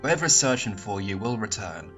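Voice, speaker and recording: A man voicing a solemn dragonfly father, speaking gravely and in a measured way over faint music. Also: on the low side, animated, lightly acted.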